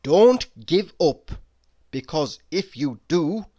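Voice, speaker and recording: Very slow, expressive reader with a mid voice. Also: mid-range voice. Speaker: reader of quotes. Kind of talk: reading humorous motivational quotes aloud.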